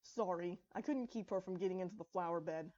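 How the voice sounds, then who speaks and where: A woman's voice: lowish voice, a little fast, dark tone, lightly acted. An apologetic young stallion and father, played by a woman narrator, mildly baffled in a storybook tale. The recording is slightly dull. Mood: apologetic, puzzled. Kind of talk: acted dialogue in fan fiction storybook reading.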